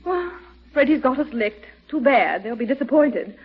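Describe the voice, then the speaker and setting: An actress in 1940s radio comedy playing a shrewd, determined furniture dealer with a theatrical, gushing manner. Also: female voice, sits high, lively intonation, moderate pace, breathy edge, exaggerated voice. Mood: stubborn. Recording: dull, noisy vintage radio recording.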